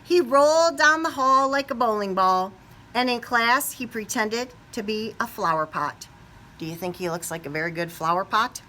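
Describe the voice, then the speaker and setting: A woman reading a picture book aloud to young children expressively, pausing to put questions to them. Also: on the high side, a little hiss or hum. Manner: animated, asks the young listeners questions.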